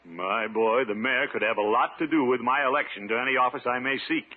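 An actor playing a pompous, self-satisfied middle-aged water commissioner in 1950s radio comedy style, theatrical and given to chuckling. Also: male voice, mid-range voice, a little fast, lightly acted. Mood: smug. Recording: noisy, narrow-band 1950s radio recording.

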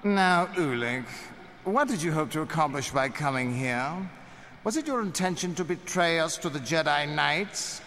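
An actor playing a cruel, haughty young Sith lord in an audio drama, with a mocking, theatrical, drawn-out delivery. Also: male voice, a little high, animated, vocal fry, sharp tone, exaggerated voice. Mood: coolly menacing. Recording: long hall reverb, noisy audio drama.

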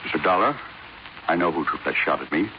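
Very deep, commanding older tycoon. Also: male voice, lively intonation, fast talker. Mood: guarded, confiding. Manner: blunt, imperious, hesitates with "uh". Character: gruff, commanding older male business magnate, guarded. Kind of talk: 1950s radio drama acting. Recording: dull, lightly noisy 1950s radio recording.

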